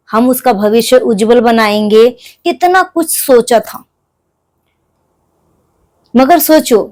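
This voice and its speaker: A woman giving emotional, earnest commentary to viewers, repeating phrases for emphasis. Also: sits high. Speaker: commentator on a crime case.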